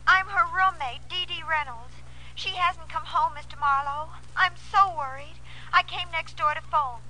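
On a noisy old radio recording, a very high female voice pleads anxiously. She is a frantic, near-hysterical young roommate in a vintage radio play. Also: normal speed, bright, forceful tone, exaggerated voice. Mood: worried. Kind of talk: 1940s radio drama acting.

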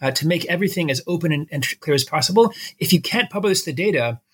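A man's voice: on the high side, expressive, fast talker, fairly bright tone, slightly rough. A middle-aged man with an American accent, explaining things conversationally with everyday examples as an expert interview guest.

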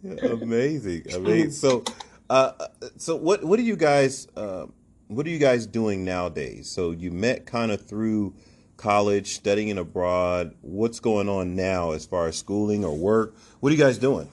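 A man's voice: on the low side, lively intonation, unhurried, darkish tone. A man hosting a casual podcast interview, upbeat, laughing often and repeating words as he reacts. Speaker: podcast host.

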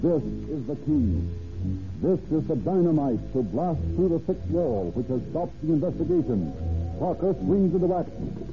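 A man's voice: fairly high pitch, very animated, normal speed. A man narrating a vintage radio drama in an emphatic, theatrical scripted style. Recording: tinny old radio broadcast with music.

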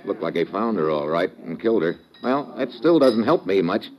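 An actor playing an impatient, hard-boiled private detective in old-time radio style, clipped, slangy and wisecracking. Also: male voice, sits low, big pitch swings, normal speed. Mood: brisk, probing. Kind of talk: old-time radio detective acting with first-person narration. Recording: dull vintage radio recording.

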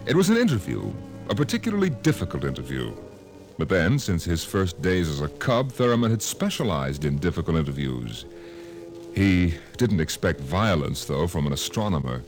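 A man's voice: sits low, big pitch swings, moderate pace. A man announcing and narrating an old radio science fiction drama in a dramatic, declamatory style. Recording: old radio broadcast with music.

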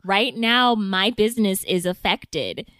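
A woman's voice: mid-range voice, expressive, slow, fairly bright tone, no room sound, studio quality. A woman co-hosting a comedy podcast who talks in a rambling, self-assured way and defends herself against teasing. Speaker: podcast co-host. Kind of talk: casual comedic banter.

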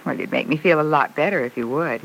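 A woman's voice: sits low, quick pace, gravelly. An actress playing a wry, self-reproaching young woman in an old-time radio serial, arch and wounded. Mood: contrite, pleading. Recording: noisy old radio broadcast recording.

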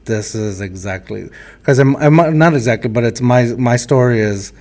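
A man's voice: medium pitch, moderate pace, a little mellow. A man from a Texas border town with a light Mexican accent, hosting a podcast in a hesitant, reflective way. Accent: Mexican-influenced Texan. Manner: hesitant, many fillers and restarts, reflective. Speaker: podcast host. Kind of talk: casual interview talk.

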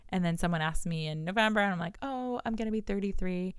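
A woman in her thirties, a business coach, talking straight to her listeners in a solo podcast and checking in with quick rhetorical questions. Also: medium pitch, clean tone, no echo, clean studio sound. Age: 30s. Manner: animated, talks to listener directly with rhetorical questions.

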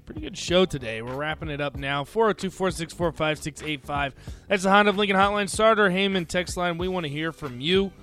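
A man in his twenties hosting a sports radio show, upbeat and chatty, with big swings in loudness. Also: sits high, brisk, soft background music. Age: 20s.

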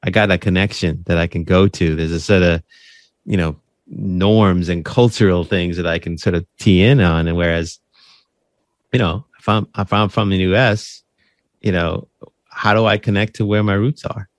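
Guy on a podcast chatting earnestly, kinda low voice, lots of restarts and "right?", dry sound no room. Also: steady tone, normal speed, no echo. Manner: earnest, conversational, often tags "right?"; frequent restarts and repeated words. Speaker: podcast host interviewed as guest. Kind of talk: casual interview talk.

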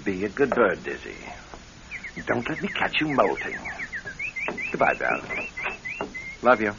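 An actor playing a fond, jaunty husband and city broker in a radio drama. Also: male voice, fairly low pitch, huge pitch swings, a little slow, creaky, exaggerated voice. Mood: jaunty, affectionate. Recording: dull vintage radio recording, faint noise.

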